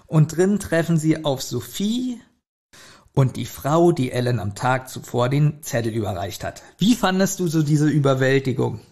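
A man's voice: medium pitch, lively intonation, moderate pace, darkish tone. A man co-hosting a podcast, retelling and critiquing a story in an informal conversational way. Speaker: podcast co-host. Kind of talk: casual review chat, retelling a plot.

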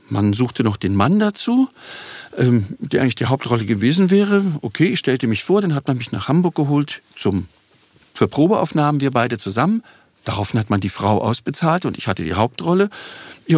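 A man in his sixties tells stories as a podcast guest in a lively, self-mocking way. His voice is mid-pitched and slightly husky. The sound is close and dry, with no room echo. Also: moderate pace. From Berlin, Germany. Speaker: guest actor. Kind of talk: relaxed storytelling in a podcast interview.

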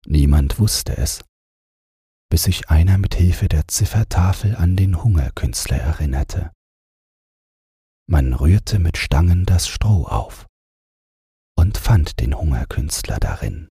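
A man narrating a literary audiobook for bedtime, in a calm, measured storytelling manner. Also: deep voice, husky, dry recording, studio quality.

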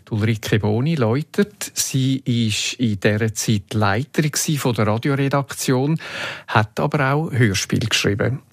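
A man with a Swiss German accent hosting a podcast interview in a measured, explanatory way. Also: mid-range voice, no echo, clean studio sound.

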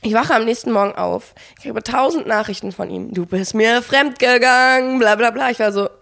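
A woman's voice: fairly high pitch, creaky. A young woman co-hosting a podcast, telling a personal story in casual chat and acting out the quoted dialogue. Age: young adult. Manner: fast, animated, acts out quoted dialogue, frequent fillers. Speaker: podcast co-host.

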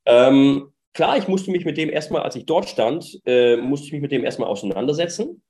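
A man's voice: medium pitch, lively intonation, normal speed, mellow. A man speaking as a startup founder in an interview, giving conversational, detailed explanations of his experiences.

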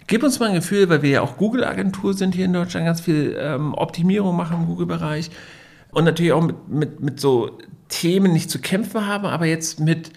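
A man's voice: a little high, brisk, gravelly, darkish tone. A man co-hosting a casual business podcast, telling stories with many fillers and restarts. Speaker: podcast co-host.

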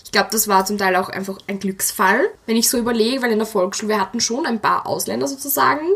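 Young woman, talkative, quick to laugh; interview guest. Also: mid-range voice, a bit bright, slightly rough. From Austria. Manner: chatty, laughs often. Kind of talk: casual interview talk.

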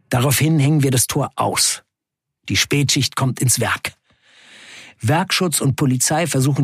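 A man playing a defiant 1970s factory worker on strike, recounting events in a deliberate, declamatory radio play narration. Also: fairly high pitch, slightly rough, no echo, studio quality. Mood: resolute, reporting. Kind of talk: dramatic first-person radio play narration.

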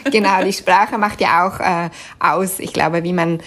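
A Swiss woman with a Swiss German accent, answering interview questions thoughtfully, often pausing with fillers and restarting sentences. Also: fairly low pitch, fairly even intonation, a bit bright, slight background noise. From Switzerland. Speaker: interview guest.

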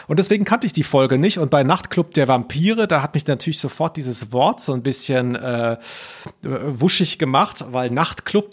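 Self-deprecating man with a higher voice. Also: breathy edge. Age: adult, not elderly. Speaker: podcast co-host. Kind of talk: casual humorous chat.

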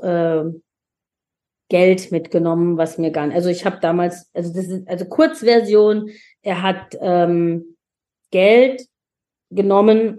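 A woman in her forties from Germany, a podcast guest telling her own story in an open, emotional way with many restarts. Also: on the low side. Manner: expressive, emotional, frequent restarts. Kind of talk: candid personal storytelling.

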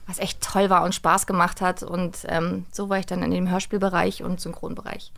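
Creaky-voiced woman chatting informally. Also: lowish voice, little pitch movement, moderate pace, a bit bright. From Berlin, Germany. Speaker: guest, voice actress and audio drama director. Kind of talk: casual interview talk.

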